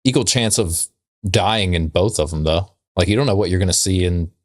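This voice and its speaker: A low-pitched male voice in casual podcast banter. He is a laid-back co-host who delivers jokes and asides with a wry touch. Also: brisk. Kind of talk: casual banter and joke telling.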